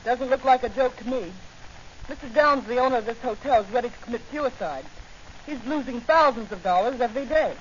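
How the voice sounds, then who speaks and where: An earnest young woman reporter acted by a woman in a 1940s radio serial. Her voice is high and plucky. The recording is muffled with faint noise. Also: fairly quick, natural voice.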